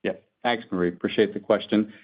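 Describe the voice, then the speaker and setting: A man speaking as a company executive, answering analysts on an earnings call in a measured, careful corporate manner. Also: fairly low pitch, steady tone. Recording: dull, lo-fi conference call audio.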